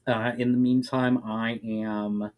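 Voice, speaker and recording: A man's voice: mid-range voice, flat delivery, slow pace, muffled recording, a little hiss or hum. A man, an author, reading his own fantasy story aloud in a dramatic narrating style.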